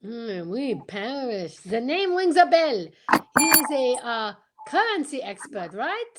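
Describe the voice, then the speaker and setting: A woman's voice: high-pitched, sing-song, slow pace, heavy background noise, heavily acted. A woman playing a courteous, self-assured male Belgian detective in an old-time radio style drama, theatrical and peppered with French phrases. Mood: measured, curious.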